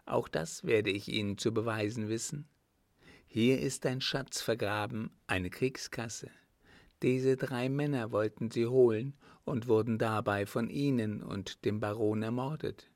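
A man's voice: medium pitch, measured pace, dry recording, lightly acted. A man voicing a bold, cool-headed young woman in an audiobook, composed and defiant. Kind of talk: audiobook character voice.

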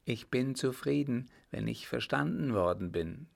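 Male reader as a scheming baron: slow, cool, low and gravelly. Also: animated, exaggerated voice. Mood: smug. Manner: cool, drawn-out and deliberate.

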